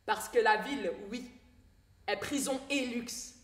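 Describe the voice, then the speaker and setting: A woman's voice: a little high, slow pace, roomy sound. A woman performing an emphatic, rhetorical poetic monologue on a theatre stage.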